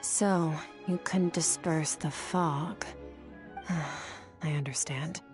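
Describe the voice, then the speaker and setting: A woman playing a mysterious, aloof young lady in an anime game, cool, clipped and deadpan. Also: deep voice, drawn-out words, over music. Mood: cool, matter-of-fact.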